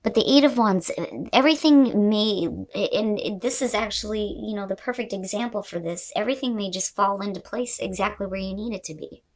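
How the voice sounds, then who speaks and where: A woman's voice: medium pitch, fairly quick, few pauses. A woman host giving an unscripted tarot reading to viewers, talking in a conversational, emphatic way with the odd mild swear word.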